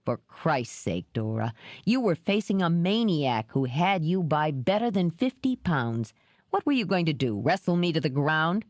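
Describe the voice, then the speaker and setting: A woman voicing a gruff, remorseful male ex-cop in an audiobook, speaking low, slow and measured. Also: very animated, no echo. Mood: insistent. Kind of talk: audiobook character reading.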